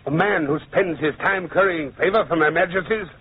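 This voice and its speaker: An actor playing an indignant, principled judge, earnest and oratorical, in an old-time radio drama. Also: male voice, a little high, moderate pace, husky. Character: indignant, principled French judge turned defence barrister. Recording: tinny, narrow old radio recording.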